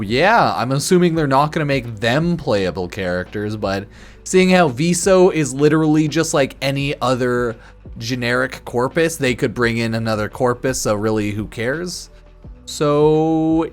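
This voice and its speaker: Casual, loose-talking male streamer over music. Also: medium pitch. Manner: slightly tipsy, loose, halting and repetitive; informal, crude slang and swearing. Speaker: game streamer. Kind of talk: casual rambling stream commentary on a game. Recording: music behind the voice. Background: background music.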